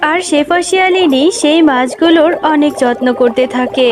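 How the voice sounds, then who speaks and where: High-pitched woman narrating a children's story. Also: moderate pace, over music.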